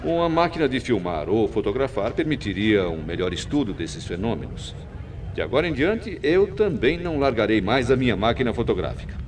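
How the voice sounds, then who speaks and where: A middle-aged Brazilian man playing a composed, authoritative veteran airline captain in a radio drama, speaking in a measured, formal way. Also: mid-range voice, sing-song, slight background noise, lightly acted. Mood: thoughtful. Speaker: radio actor. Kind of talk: 1990s radio drama acting.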